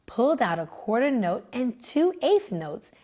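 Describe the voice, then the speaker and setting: A woman reads a children's picture book aloud at an unhurried pace, gentle and expressive. Her voice is soft and breathy, and her pitch rises and falls in a lively way. Faint music plays behind her. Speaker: children's librarian reading aloud.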